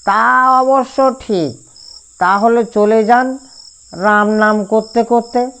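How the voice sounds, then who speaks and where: A man's voice: sits high, steady tone, takes it slowly, fairly bright tone, clean tone, voice pushed up, exaggerated voice. A man voicing a servile, superstitious village office errand man with a thin, twangy voice in a horror storybook. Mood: wary, warning.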